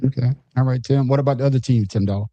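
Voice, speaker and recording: A man's voice: mid-range voice, quick pace, dark tone, dry recording, muffled recording. A man hosting a casual sports podcast, laughing and prompting the others with questions. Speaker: podcast host.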